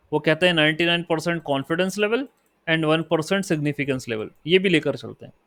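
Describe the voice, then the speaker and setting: A man with an Indian accent teaching a statistics lesson. He explains in Hindi and reads definitions out slowly in English. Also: on the high side, fairly bright tone, no room sound. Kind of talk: lecture.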